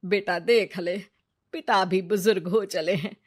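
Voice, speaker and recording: A woman's voice: mid-range voice, fairly even intonation, a little slow, husky. A woman playing a plaintive, nagging mother of a poor household in a cartoon family drama. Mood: worried. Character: worried, scheming mother of a poor household, turning spiteful grandmother. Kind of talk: Hindi cartoon moral-story dubbing.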